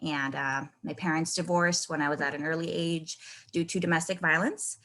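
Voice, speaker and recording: Woman from california, speech on video call, lowish, bright forceful voice, candid. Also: steady tone, normal speed, a little hiss or hum. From Oceanside, California. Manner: friendly, candid, with frequent ums. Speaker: club member giving a first speech. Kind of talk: prepared personal speech.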